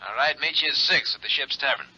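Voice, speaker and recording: An actor playing an overconfident, slippery suspect in a 1950s radio drama, breezy and falsely friendly. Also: male voice, a little high, quick pace, music in the background, close to an everyday voice. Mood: breezy, confident. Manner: breezy, confident. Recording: dull 1950s radio recording.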